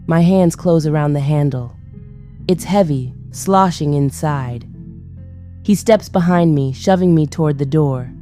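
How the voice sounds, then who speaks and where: A woman's voice: deep voice, a little slow, mellow, soft background music. An actress playing a captive woman, narrating an audio drama in a subdued, tense way. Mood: subdued dread. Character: Ashley, captive woman forced along at gunpoint.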